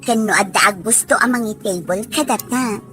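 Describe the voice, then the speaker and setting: A woman's voice: mid-range voice, normal speed, sharp tone, soft background music, natural voice. A woman acting as a friendly, plain-spoken young working woman in a scripted Ilocano radio drama. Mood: earnest.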